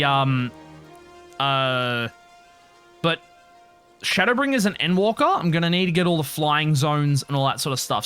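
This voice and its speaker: Male streamer, animated delivery, slightly high voice, casual rambling game commentary, informal, faint music. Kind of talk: casual live playthrough commentary.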